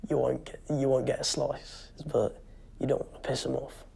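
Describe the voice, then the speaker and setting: A male recruit in his late teens with a gravelly voice, talking hesitantly in an interview. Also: mid-range voice, completely flat delivery, moderate pace, mellow. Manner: hesitant, casual. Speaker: army recruit. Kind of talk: documentary interview. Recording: noisy with clicks behind.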